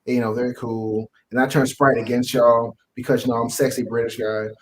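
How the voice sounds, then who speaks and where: A man's voice: medium pitch, little pitch movement, a little fast, slight background noise, poor audio. A man co-hosting a casual movie review, talking in an offhand, slangy, irreverent way. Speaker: co-host of a movie review show. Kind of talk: casual panel chat.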